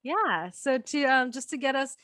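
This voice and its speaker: A woman's voice: sits high, normal speed, no room sound, studio quality. A woman hosting an interview podcast, asking questions casually with lots of "so" and "you know.". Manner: relaxed, hedging fillers like "so" and "you know". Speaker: podcast host.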